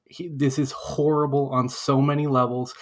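A man's voice: medium pitch, flat delivery, moderate pace. A man co-hosting a podcast, arguing in a casual comedic debate with impassioned, profane ranting. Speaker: podcast co-host.

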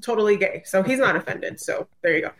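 A woman's voice: medium pitch, animated, fast talker, a little hiss or hum. A woman speaking as an interview guest in casual chat, emphatic and colloquial, often checking that the listener follows. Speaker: podcast guest, writer.

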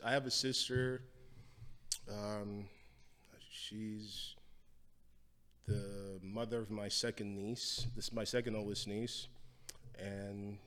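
A man's voice: lowish voice, a bit bright, long reverb tail. A young man from Guyana, a guest in a casual interview, talking bluntly with lots of profanity and street slang. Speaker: guest, wildlife photographer.

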